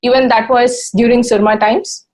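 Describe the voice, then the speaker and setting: A woman's voice: on the high side, fairly even intonation, a little mellow, a little hiss or hum. Indian-accented woman radio host. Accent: Indian English. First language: Tamil. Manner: quick affirmations, eager. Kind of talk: interview questions.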